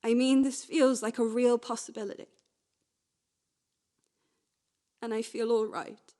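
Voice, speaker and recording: A woman playing a restless, wistful young woman in a confessional radio play monologue, speaking naturally in halting, repetitive phrases. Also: a little high, fairly even intonation, natural voice. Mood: earnest, hopeful. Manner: halting, repetitive, swinging from hushed to agitated.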